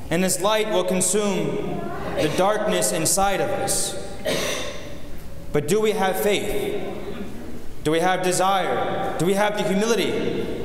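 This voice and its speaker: A young man, a priest, preaching an earnest, measured sermon full of rhetorical questions, recorded from a far camera in a large church. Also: high-pitched, slight background noise. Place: large church, in front of the icon screen. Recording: echoey church hall with long reverb.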